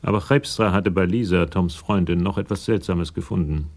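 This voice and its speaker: An actor as a sober narrator of a German radio crime drama, measured and matter-of-fact. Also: male voice, low voice.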